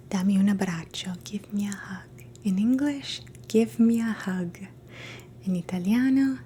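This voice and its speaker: A woman in a soft, gentle, mostly unvoiced whisper, slowly teaching phrases in a relaxing language lesson and repeating each one. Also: medium pitch, a little hiss or hum. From Canada. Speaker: ASMR host teaching phrases.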